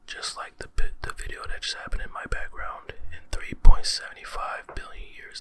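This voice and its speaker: A man's voice: very high-pitched, pushed and bright. A man whispering space facts for an ASMR video, slangy and playful, reacting with amazement and repeating words. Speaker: ASMR creator. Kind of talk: whispered ASMR fact telling.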